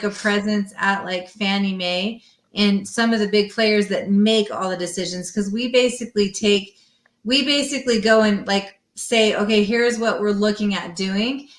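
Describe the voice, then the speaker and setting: A woman's voice: medium pitch, unhurried. A woman guest expert explaining things informally and emphatically, talkative, in a roomy-sounding recording. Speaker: mortgage lender, guest expert.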